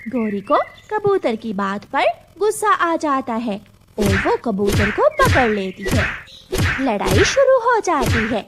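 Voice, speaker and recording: A woman's voice: a little high, sing-song, takes it slowly, noisy background. A woman narrating a scripted children's story as its storyteller.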